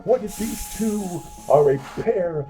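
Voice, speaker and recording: An actor playing a wry, scheming drunken adventurer in a comic fantasy audio drama, speaking in flowery archaic phrases. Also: male voice, high-pitched, expressive, slow pace, dark tone, faint music behind. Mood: wary, wry. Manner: pompous, flowery archaic diction.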